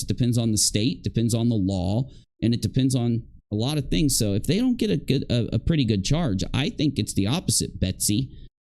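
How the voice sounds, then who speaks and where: A man's voice: medium pitch, a little mellow. A man hosting a livestream, giving casual, rambling commentary and reading aloud with grumbling, joking asides.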